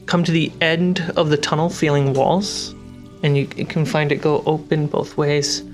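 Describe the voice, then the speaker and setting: A game master narrating a tabletop fantasy game in halting, descriptive phrases. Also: mid-range voice, fairly even intonation. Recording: music and rain sounds behind. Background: music, rain effects.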